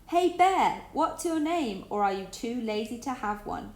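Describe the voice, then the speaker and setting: A woman voicing an impatient male toy who calls out loudly, in a children's story read aloud. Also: a little high, expressive, a little slow, roomy sound, pitched above the performer's own voice. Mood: exasperated. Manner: loud, calling out.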